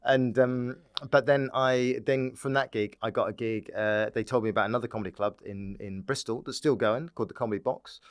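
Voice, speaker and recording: A man's voice: mid-range voice, fairly quick, few pauses, dry recording. A man from Dorset with an English accent, telling anecdotes as a comic guest in playful, casual chat. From Dorset, England. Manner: playful, animated riffing, often breaks into laughter. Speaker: stand-up comic, podcast guest.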